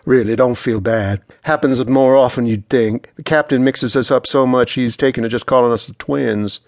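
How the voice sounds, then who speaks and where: A man's voice: mid-range voice, steady tone, normal speed, dry recording, lightly acted. Man playing a friendly, folksy Southern guy. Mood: friendly, reassuring. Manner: courteous, reassuring, folksy. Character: friendly, easygoing big young Southern man, the roommate.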